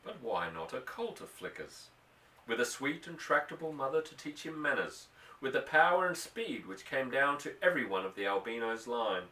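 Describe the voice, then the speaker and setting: Male audiobook narrator, bright and forceful, highly animated pitch, literary prose read dramatically, distant roomy recording. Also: moderate pace. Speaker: audiobook reader. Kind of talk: scripted literary narration of a novel. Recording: roomy echo, distant microphone.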